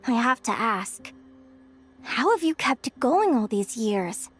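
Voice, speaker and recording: A woman playing an earnest, thoughtful young woman in a video game, with gentle, hesitant phrasing. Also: fairly high pitch, sing-song, takes it slowly, exaggerated voice. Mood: pained, questioning. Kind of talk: scripted video game voice acting. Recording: music behind the voice. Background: music.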